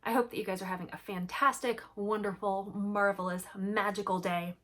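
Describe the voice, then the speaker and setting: A woman storyteller reading a picture book to young children, expressive and emphatic, chatting to them with questions. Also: medium pitch, fairly quick. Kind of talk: animated storybook read-aloud with commentary to young children.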